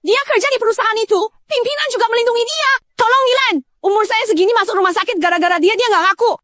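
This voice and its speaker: Woman as old neighbor granny, very high shrill voice, belligerent, scheming, aggrieved, drama dub, dry sound. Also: fast, fairly bright tone, dry recording. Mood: outraged, wailing.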